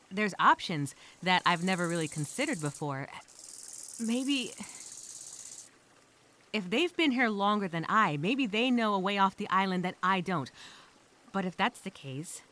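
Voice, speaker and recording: A woman's voice: lowish voice, bright, forceful tone, a little hiss or hum. A woman voicing an anxious, rambling castaway who narrates a story as a dramatic, theatrical first-person journal. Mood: paranoid, uneasy. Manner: theatrical, overthinking, repeats herself, self-questioning.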